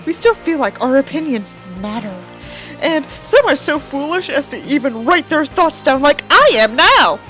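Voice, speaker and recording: A woman's voice: high voice, sing-song, normal speed, a bit bright, faint music behind, rough recording. A woman voicing a whiny, self-important, bitterly nihilistic writer in a mock dramatic book reading. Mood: gloomy, self-pitying. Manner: melodramatic, pompous dramatic reading.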